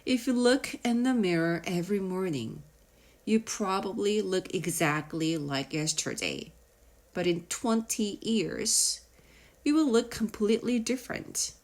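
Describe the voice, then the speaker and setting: A woman narrating a children's nonfiction audiobook in a measured, explanatory way with deliberate pauses. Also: medium pitch, sing-song. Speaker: audiobook reader.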